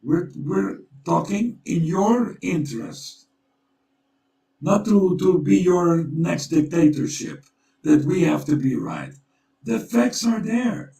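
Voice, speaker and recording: Slow, gravelly man with a Dutch accent. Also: sits high, expressive, mellow, recorded from a distance. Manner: urgent, storytelling, repeats himself. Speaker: co-host. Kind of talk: earnest conversational appeal. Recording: echoey room, away from the mic.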